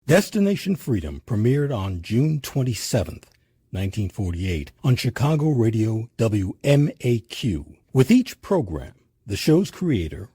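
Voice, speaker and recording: A man reading scripted history documentary narration in a measured, emphatic way. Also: mid-range voice, warm tone, slightly rough. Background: music.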